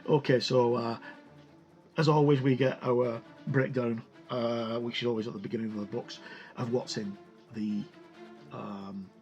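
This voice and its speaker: A man giving a casual, informal review as he leafs through a book, halting as he goes and muttering the odd aside. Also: medium pitch, little pitch movement, a little mellow, lo-fi sound, faint music behind. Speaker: tabletop game book reviewer. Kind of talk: casual flip-through review with read-out passages.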